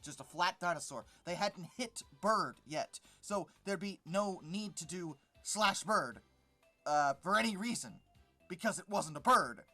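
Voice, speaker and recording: Mid-pitched voice, reaction commentary, sardonic, exasperated, repeats for emphasis, faint music in background. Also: lively intonation, measured pace, bright, forceful tone. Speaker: YouTube host. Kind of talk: reaction commentary, reading an article and critiquing it.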